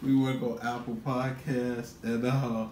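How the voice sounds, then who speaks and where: A man's voice: level tone, measured pace, a little mellow, recorded from a distance. A middle-aged man hosting a casual, playful reaction video at home, recorded from a camera a few feet away. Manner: giggly, breaks into high laughter. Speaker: YouTube reaction host. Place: room at home, indoors. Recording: echoey room, faint background noise.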